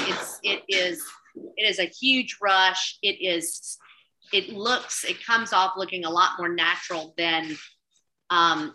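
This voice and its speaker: A woman with a Southern US accent tells her story in an easy, rambling way, full of restarts and fillers. Her voice sits a little low but rings very bright and forceful. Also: slight background noise, poor audio. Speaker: cookbook author and TV food contributor. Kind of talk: casual interview chat.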